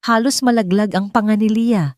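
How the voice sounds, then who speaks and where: A woman's voice: mid-range voice, normal speed, a little mellow, dry recording, clean studio sound. A woman reading a scripted romance story as a dramatic audiobook narrator.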